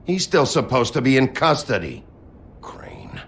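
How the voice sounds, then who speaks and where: An actor playing a gruff, weary lawman in a video game, curt and brusque. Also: wildly swooping pitch, unhurried, fairly bright tone, a little hiss or hum, full character voice. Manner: curt, low-key, brusque.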